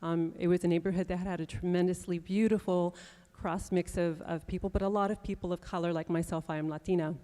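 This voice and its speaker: A woman on a conference panel telling of her neighborhood, earnest and measured. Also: lowish voice, level tone, warm tone. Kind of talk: personal panel statement. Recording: long reverb of a large hall.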